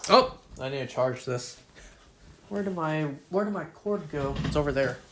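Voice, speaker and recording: Man, game streamer, casual rambling chat, self-deprecating jokes, slightly high voice. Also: animated, normal speed, background noise.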